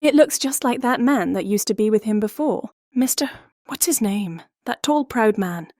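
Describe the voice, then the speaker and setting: Period audiobook role: pert teenage girl played by a woman, exclamatory, raised pitch, close dry sound. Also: expressive, moderate pace, a little mellow, no echo, natural voice. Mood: curious, excited. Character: pert, curious teenage daughter. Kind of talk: dramatized audiobook character acting.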